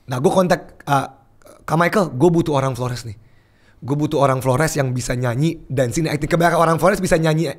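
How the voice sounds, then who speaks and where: A young man chatting casually as a podcast guest, telling an animated story and mixing English phrases into his speech. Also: a little high, fairly quick. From Indonesia.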